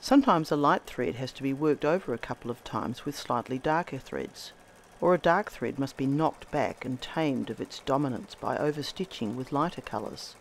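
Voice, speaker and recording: A woman hosting a textile art demonstration, with a slightly croaky voice from laryngitis, speaking in a measured, gentle and enthusiastic way. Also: extremely low pitch, a little hiss or hum. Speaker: textile artist host. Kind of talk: scripted demonstration narration.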